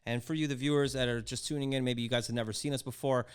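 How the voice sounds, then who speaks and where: A man's voice: mid-range voice, fairly even intonation, fast talker, smooth. A middle-aged man from Canada hosting a podcast in a casual, chatty way, with frequent fillers and restarts. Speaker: podcast host. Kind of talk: casual chat.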